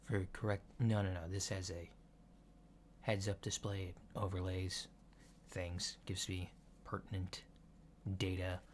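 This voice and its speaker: A man playing a polite alien doctor in a soft-spoken ASMR roleplay, asking checkup questions in a hesitant, methodical, reassuring way, on a lo-fi recording. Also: low-pitched, little pitch movement, a little slow. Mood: calm, reassuring. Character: polite, unhurried human-looking alien doctor giving a checkup. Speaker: ASMR performer. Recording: lo-fi with clear background noise.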